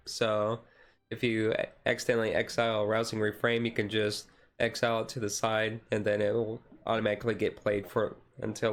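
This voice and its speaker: A man streaming a casual ASMR-style card showcase, easygoing and halting as he reads out cards, his voice a little rough and taken gently. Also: fairly low pitch. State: voice slightly rough, being taken easy.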